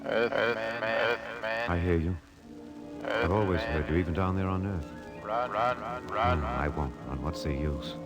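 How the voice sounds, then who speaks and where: An actor playing a troubled, obsessed archaeologist turned killer in a 1950s radio drama, speaking in a brooding, deliberate way. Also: male voice, low voice, animated, over loud music. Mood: haunted, resigned.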